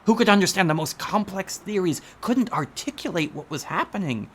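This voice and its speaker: A man's voice: high-pitched, lively intonation, normal speed, fairly bright tone, a little hiss or hum. A man playing a haunted, intense writer of horror stories in a radio drama, speaking in an earnest, florid, articulate way. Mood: indignant, insistent. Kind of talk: theatrical radio drama acting.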